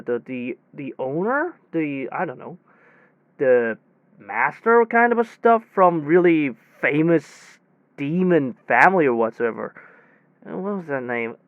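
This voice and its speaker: A man playing a rattled technician in an audio drama, telling his story conversationally in halting, repetitive bursts full of false starts and self-corrections. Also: sits high, no echo, phone-quality sound. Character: rattled, rambling young technician retelling a bizarre demon encounter. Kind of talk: hobby audio drama monologue, conversational retelling.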